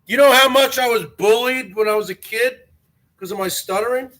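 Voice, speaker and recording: High-voiced man with a stutter, aggrieved. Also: brisk, bright, forceful tone, rough recording. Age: 40s. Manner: aggrieved, repeats himself. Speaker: live stream host. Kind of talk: live stream talk to viewers.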